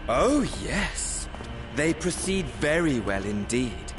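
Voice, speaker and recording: A man's voice: fairly high pitch, sing-song, takes it slowly, music in the background. An actor playing a gloating villain posing as a wealthy lord in a video game, florid and mock-courteous, savoring his words. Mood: smug. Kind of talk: dramatic video game cutscene acting.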